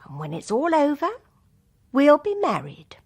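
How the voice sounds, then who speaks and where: A possessive, wildly swooping lover. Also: female voice, high voice, wildly swooping pitch, measured pace, exaggerated voice. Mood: possessive, menacing. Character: possessive, menacing female lover. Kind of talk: radio drama acting.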